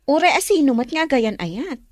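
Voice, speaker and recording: A woman's voice: sits high, sing-song, moderate pace, no echo, clean studio sound. A woman with an Ilocano accent acting as a sweet-talking, two-timing girlfriend in a radio drama. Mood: coy.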